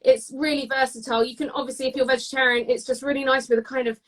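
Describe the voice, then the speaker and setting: Higher-voiced British woman, chatty cook. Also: level tone, fast, fairly bright tone. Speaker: guest cook demonstrating a recipe. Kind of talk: casual live cooking demonstration.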